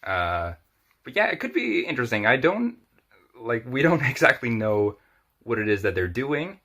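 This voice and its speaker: A man in his twenties chatting casually as a podcast guest, with halting, hesitant phrasing. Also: mid-range voice, sing-song, a bit bright. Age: 20s. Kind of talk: casual chat about film and TV.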